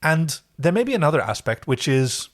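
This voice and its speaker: A man's voice: fairly high pitch, big pitch swings, moderate pace. A man co-hosting a podcast, casually and reflectively recapping a television episode. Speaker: podcast co-host.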